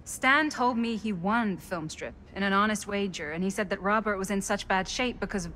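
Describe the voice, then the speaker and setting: An actress playing a sceptical, level-headed woman in a video game, guarded and measured with some hesitancy. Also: mid-range voice, fairly bright tone, a little hiss or hum, natural voice. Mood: confrontational. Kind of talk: cinematic video game acting.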